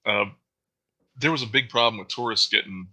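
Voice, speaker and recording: A man's voice: fairly low pitch, a little fast, sharp tone. A man from Florida, co-hosting a casual chat and telling stories in a profane, laid-back way. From Florida, USA. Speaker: co-host.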